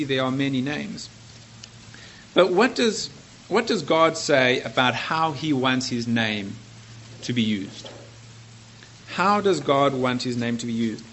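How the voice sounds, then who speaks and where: A man's voice: mid-range voice, a bit bright, a little hiss or hum. South African man preaching a sermon. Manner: earnest, conversational, self-correcting.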